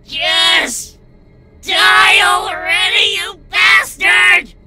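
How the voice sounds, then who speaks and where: Woman as a frantic stallion, shrill desperate shouting. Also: very slow, faint music behind, exaggerated voice. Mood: defiant rage. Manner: desperate, strained yelling. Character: frightened, defiant stallion trapped inside a monster's body. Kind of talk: dramatic audio story acting.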